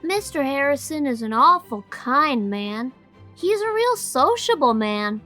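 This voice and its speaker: A woman's voice: high voice, big pitch swings, unhurried, bright, forceful tone, soft background music, exaggerated voice. A woman voicing an inquisitive, outspoken little farm boy in an audiobook, talking in an earnest, childlike, questioning way. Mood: eager, chatty. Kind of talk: audiobook character acting.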